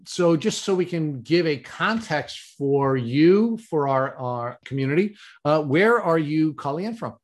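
A man from New York co-hosting a podcast, introducing the guest and asking measured, summarizing questions. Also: on the high side, animated. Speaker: podcast co-host. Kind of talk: interview hosting.

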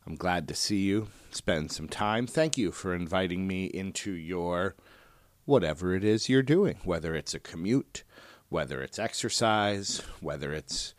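A man hosting his own podcast, talking in a soothing, relaxed way and often wandering off on tangents. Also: deep voice, a little slow, dry recording. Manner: relaxed, digressive, frequent "uh" and "um". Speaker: podcast host. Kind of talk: casual solo podcast talk.

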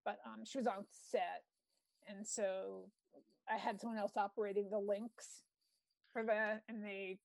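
An outgoing woman with a New York accent, hosting a show in loud, casual conversation. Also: medium pitch, flat delivery, a little slow, vocal fry, dry recording. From New York, United States. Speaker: show host. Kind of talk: casual chat.

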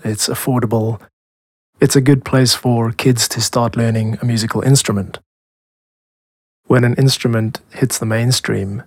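A South African man narrates a podcast in a measured, explanatory way. His voice sits at an average male pitch and is recorded close and dry, with very little room sound. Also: no echo. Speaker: podcast host, music composer and producer.

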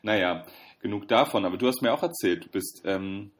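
A young man co-hosting a podcast, chatting casually with a wry, halting delivery full of fillers. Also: lowish voice. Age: young adult. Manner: hesitant, many fillers and restarts, wry. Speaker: podcast co-host. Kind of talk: casual chat.

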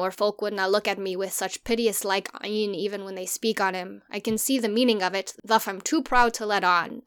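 A woman's voice: mid-range voice, pushed and bright, smooth, voice pushed up, lightly acted. A woman voicing a worried old farmer. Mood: brooding. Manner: slow, earnest, broad dialect.

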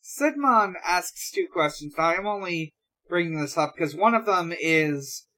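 Casting: female podcast host, informal and hesitant, reading out questions and chatting in short halting bursts. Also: fairly low pitch, lively intonation, bright, forceful tone. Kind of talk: casual chat.

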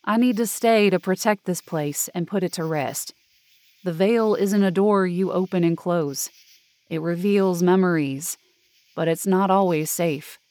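A man playing a calm, cryptic guardian of a supernatural veil in an audio drama, measured and solemn. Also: high-pitched, no echo. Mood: solemn, reassuring.

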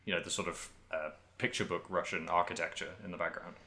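A man co-hosting a podcast, casually talking through video games in a halting, thinking-aloud way. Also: sits low, flat delivery, sharp tone. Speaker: podcast host. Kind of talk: casual video game discussion.

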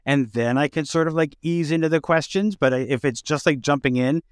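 A man hosting a relaxed, conversational interview, informal and affirming, feeling out his questions as he talks. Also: fairly high pitch, fairly quick, smooth, no echo, studio quality. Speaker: interview host.

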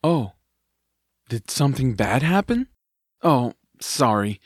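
A man playing an excitable, kind-hearted young boy hero in a family audio drama, with an earnest, playful delivery. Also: medium pitch, takes it slowly, dry recording, clean studio sound. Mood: gentle, earnest.

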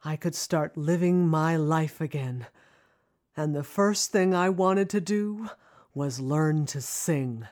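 A woman narrating a scripted first-person audio drama in an expressive, reflective storytelling manner. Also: low-pitched, slow pace. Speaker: narrator, singing student.

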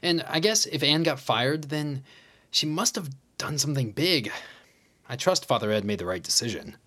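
An actor playing a chummy, self-important high school teacher, an American man with a casual, hesitant way of talking, in a naturalistic audio drama. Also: expressive, normal speed, pushed and bright. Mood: defensive, smug. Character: chummy, vain male high school teacher, former homecoming king.

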